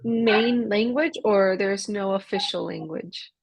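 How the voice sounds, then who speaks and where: A woman's voice: medium pitch, takes it slowly, breathy edge, dry recording. A young American woman tutoring English in casual conversation, friendly, asking questions and laughing often. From USA. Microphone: video call.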